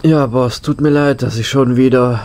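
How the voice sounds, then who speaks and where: A man's voice: medium pitch, fairly even intonation, normal speed, a little mellow, slight background noise, lightly acted. A man playing a meek, squeamish, obedient sheriff's deputy in a horror audio drama, with an apologetic manner. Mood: sheepish. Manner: apologetic, deferential.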